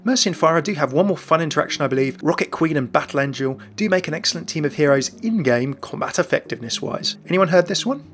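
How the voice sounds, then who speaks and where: A man's voice: fairly high pitch, lively intonation, fast talker, hardly any pauses. A man narrating a gaming lore video in a chatty, enthusiastic way. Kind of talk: scripted narration.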